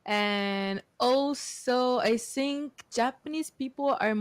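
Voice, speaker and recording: Slow halting woman guest. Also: high-pitched, smooth, dry recording. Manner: slow, halting, searches for words with many ums. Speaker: panel guest. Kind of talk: casual chat.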